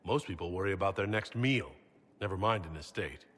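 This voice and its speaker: An actor playing a steady, protective leader in a video game, speaking in a measured, plain and polite way. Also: male voice, low voice. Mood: attentive. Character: calm, steady, protective heroic male leader. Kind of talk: video game cutscene acting.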